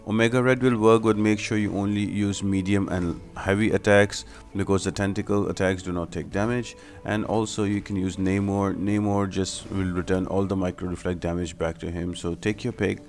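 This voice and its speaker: Low-pitched man narrating a game guide. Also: little pitch movement, normal speed, hardly any pauses, a little mellow. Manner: explanatory, step-by-step instructing. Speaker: video game guide host. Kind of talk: gameplay tutorial commentary.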